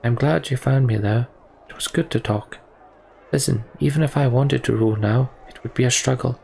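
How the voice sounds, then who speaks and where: A man playing a candid, disillusioned young prince in a fantasy game, speaking in a measured, reflective way. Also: mid-range voice, gravelly, a little mellow, a little hiss or hum, close to an everyday voice. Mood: resigned. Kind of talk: voiced game dialogue reading.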